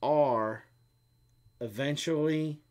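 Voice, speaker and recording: A man's voice: fairly high pitch, drawn-out words, darkish tone. Middle-aged guy on a homestead stream, rambles on explaining stuff, lots of ums. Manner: rambling, explains at length with many fillers. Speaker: co-host of a homestead live stream. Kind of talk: casual chat and Q&A.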